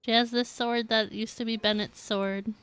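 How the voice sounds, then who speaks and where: A woman's voice: on the high side, flat delivery, normal speed, fairly bright tone, clean tone. A woman chatting casually and playfully on a gaming livestream, giggly and given to exclamations. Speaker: game live streamer.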